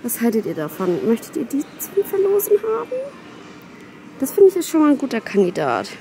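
Excitable young woman vlogging in a store. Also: fairly high pitch, a little fast, slight background noise. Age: young adult. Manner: excitable, chatty, often whispering asides. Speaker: plant vlogger. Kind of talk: casual shop-with-me vlog. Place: indoors, then a large garden center. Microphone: handheld phone camera.

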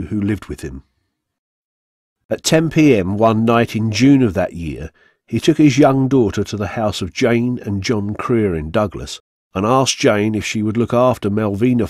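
A man reading a scripted true-crime story for radio in a measured, formal way. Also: on the low side, darkish tone, no room sound, clean studio sound. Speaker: narrator. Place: radio studio, dry sound.

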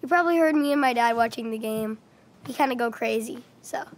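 A woman voicing a timid ten-year-old boy in a video game, talking in hesitant, halting bits. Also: fairly high pitch, close to an everyday voice. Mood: evasive.

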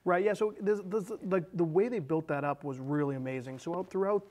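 Higher-voiced man chatting about film. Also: brisk, a little mellow. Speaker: film discussion show co-host. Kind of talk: casual movie discussion, retelling a plot. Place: small indoor studio set with curtains.

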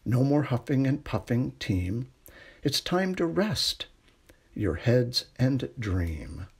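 A middle-aged man reading a children's picture book aloud in a gentle, unhurried bedtime manner, with a husky, creaky voice. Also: medium pitch, big pitch swings. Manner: gentle, unhurried bedtime delivery with hushed refrains. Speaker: storytime reader.

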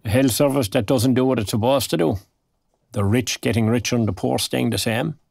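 A man's voice: mid-range voice, steady tone, fairly quick, vocal fry, a little mellow, no room sound, clean studio sound. An actor playing a proper, old-fashioned retired Irish working man, older and plain-spoken, in an audio drama. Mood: plain, reminiscing. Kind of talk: naturalistic audio drama dialogue.

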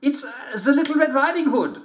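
A man putting on a sly, squeaky, stammering wolf. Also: a little fast. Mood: flustered. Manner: hesitant, stammering and fawning. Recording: dull, roomy sound.

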